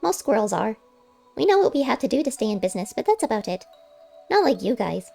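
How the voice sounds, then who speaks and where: A clever little male squirrel, played by a woman reading a storybook, speaks in a high, soft-edged voice. He is plain-spoken and wistful, always ready to bargain. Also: big pitch swings, quick pace, gravelly, soft background music, full character voice. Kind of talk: audiobook character voice.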